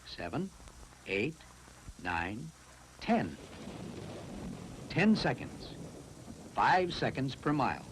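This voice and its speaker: A man reads scripted science narration for a classroom film, explaining in a deliberate, instructive way. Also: medium pitch, a little hiss or hum, rough recording.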